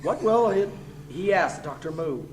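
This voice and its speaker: A man's voice: fairly high pitch, animated, unhurried. A man playing an overwrought, suspenseful announcer narrating an old-time radio serial. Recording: noisy amateur radio-play recording.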